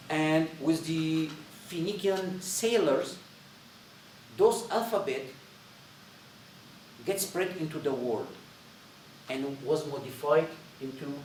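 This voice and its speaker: A man with a Syrian accent, a native Arabic speaker, gives an informal lecture in a halting, repetitive way, searching for words. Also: a little high, darkish tone. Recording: roomy echo, distant mic, faint noise.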